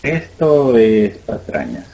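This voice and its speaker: Slow, mellow, low-voiced joking man. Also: huge pitch swings, vocal fry, poor audio. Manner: joking, crude, laid-back. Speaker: guest. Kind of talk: casual online radio chat.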